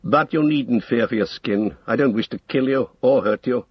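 A man's voice: medium pitch, moderate pace, slightly rough, dry recording, lo-fi sound, natural voice. A man voicing a stern, weary old male wizard who speaks with commanding authority in an audiobook story. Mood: grave, firm.

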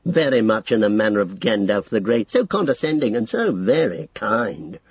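A man's voice: fairly low pitch, very animated, normal speed, slightly rough, dry recording, dull audio. A man voicing a proud, scornful, wrathful old male wizard in an audiobook story. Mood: sneering. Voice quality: screaming when enraged. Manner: sneering, scornful, condescending.

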